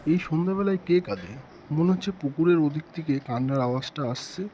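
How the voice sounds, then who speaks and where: A man's voice: fairly high pitch, brisk, very noisy. A man narrating a ghost story in the first person as a storyteller.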